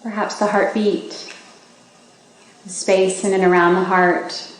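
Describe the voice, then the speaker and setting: A woman leading a guided meditation for a group, giving slow, gentle instructions with long pauses. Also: mid-range voice, flat delivery. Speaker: meditation teacher leading a body scan. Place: large indoor gathering space. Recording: very echoey room with faint background noise.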